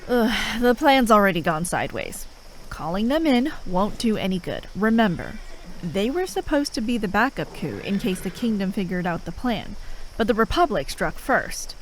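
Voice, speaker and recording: A woman playing an exasperated, sharp-tongued but reluctantly caring enemy operative in a fantasy audio roleplay, sardonic, speaking in short phrases with pauses. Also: medium pitch, very animated, a little hiss or hum, lightly acted, low-quality recording. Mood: incredulous. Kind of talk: fantasy audio roleplay acting, addressed to a listener.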